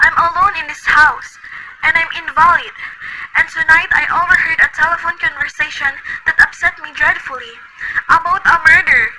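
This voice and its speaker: A woman playing a demanding, panicked invalid woman in an amateur radio play cover, her voice shrill. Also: steady tone, normal speed, noisy background. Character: frantic bedridden wealthy woman.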